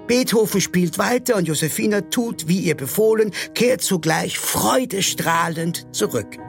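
A man's voice: high-pitched, lively intonation, a little slow, vocal fry, music in the background, heavily acted. A man playing a mock-solemn storyteller, narrating an absurd radio play with theatrical announcements.

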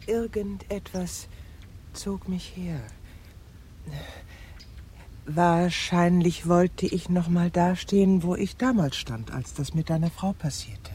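A woman's voice: fairly low pitch, slow pace, warm tone, slightly rough. An actress playing an obsessive, bitter, lovelorn middle-aged woman in a radio crime drama, intimate and often dropping to a whisper. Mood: defensive, wounded.

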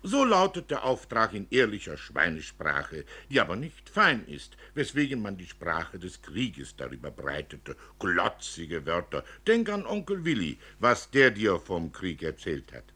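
Casting: male reader as a grave, indignant storybook father, bitter and emphatic, forceful delivery with a rough edge. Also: sits low, wildly swooping pitch, unhurried, few pauses, full character voice. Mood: bitter, scornful. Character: worried, bitter father lecturing his young daughter. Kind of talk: dramatic literary storytelling.

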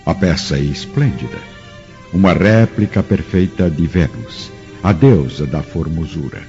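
A man's voice: a bass voice, animated, measured pace, a little mellow. A man with a Brazilian accent giving dramatic scripted narration for a radio drama. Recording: muffled radio production with music.

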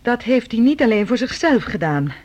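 An actress playing the earnest, formal adult daughter of a scientist in a radio drama, speaking persuasively. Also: female voice, fairly high pitch, big pitch swings, moderate pace, darkish tone. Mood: earnest, defensive. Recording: dull, lo-fi radio recording.

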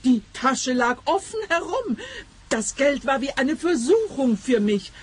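An actress playing a quirky, self-justifying thief in a comic radio play, theatrical and earnest. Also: female voice, sits high, sing-song, unhurried, breathy edge, full character voice. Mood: pleading, earnest.

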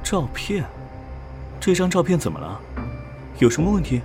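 A man acts out a young boyfriend who is baffled and doubting. His voice is a little high and swings animatedly, in horror audio drama style. Faint music sits underneath. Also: wildly swooping pitch, normal speed, darkish tone. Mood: puzzled. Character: puzzled, sceptical young boyfriend.

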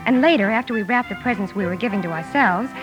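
A woman's voice: medium pitch, fast, over music, close to an everyday voice, rough recording. An actress playing a spirited, impulsive tomboy young woman in a period drama, hearty, eager and theatrical. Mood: wry narrating. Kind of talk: period radio drama acting with narration.

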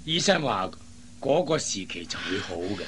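An actor playing a kindly, emotional old father in a radio drama, gravelly, halting and gentle. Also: lowish voice, sing-song, a crowd in the background. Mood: reassuring. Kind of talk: Cantonese radio drama acting.

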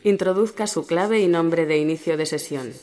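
A woman playing an ominous computer system voice in a radio drama, giving measured, formal warnings. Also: fairly low pitch, darkish tone, natural voice. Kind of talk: radio drama, automated announcement style. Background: music.

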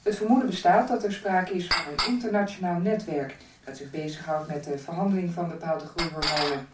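An actress plays a sober radio newsreader with a low voice, reading a formal bulletin. The sound is echoey and slightly distant, with clear clattering noise around it. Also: female voice, brisk, natural voice. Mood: neutral, official. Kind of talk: formal scripted news bulletin.

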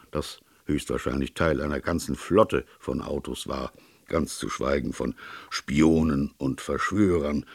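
A man voicing a grave, plain-spoken police colonel in a storybook reading, earnest, formal and deliberate. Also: deep voice, gravelly, dry recording, studio quality, full character voice. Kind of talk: audiobook character dialogue.

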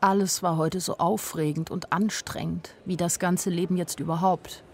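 A woman's voice: lowish voice, steady tone, normal speed, a little mellow, slightly rough. An actress playing a weary, frank young woman who narrates her life in a radio play.

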